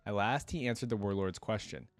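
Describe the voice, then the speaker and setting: A man's voice: lowish voice, fairly quick, no echo, faint music behind. A man giving casual, enthusiastic, slangy reaction commentary and reading a story aloud as host.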